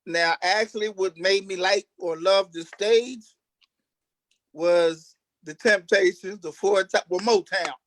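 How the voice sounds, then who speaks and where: A man's voice: sits high, slow pace, a bit bright, no echo. A man who acts on stage, casually telling stories about himself as an interview guest. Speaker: stage actor being interviewed. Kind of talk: casual storytelling.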